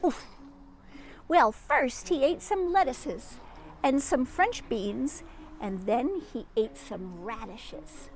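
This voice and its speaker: A woman reading a children's story aloud in an expressive, teacherly way, with chatty asides and questions to young listeners. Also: high voice, takes it slowly, noisy background. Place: outdoors in a garden.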